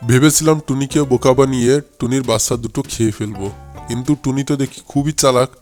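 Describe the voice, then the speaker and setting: A man acts out a greedy, wicked fox from a children's cartoon. He taunts and bullies with gloating, commanding relish in a mid-pitched male voice. Music plays behind him. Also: steady tone, a little fast, darkish tone, close to an everyday voice. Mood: scheming. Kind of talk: cartoon fable voice acting.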